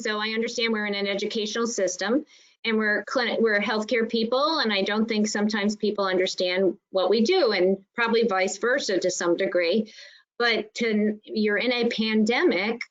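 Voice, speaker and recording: Muffled meeting audio, emphatic explaining woman. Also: on the high side. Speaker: school nurse coordinator on a panel. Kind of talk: informal spoken presentation and discussion in an online meeting. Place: online meeting call.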